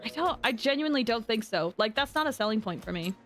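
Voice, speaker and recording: Animated, higher-voiced woman game streamer. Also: fast. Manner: rambling, conversational, informal. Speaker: live streamer playing a game. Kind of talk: casual chat with viewers. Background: game music.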